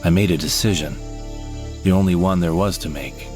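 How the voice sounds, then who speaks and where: A man voicing a deep-voiced space sidekick narrator. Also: natural voice. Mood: wry. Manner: measured, storytelling delivery. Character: male sidekick narrating his space adventure. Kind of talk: scripted audio drama narration. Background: background music.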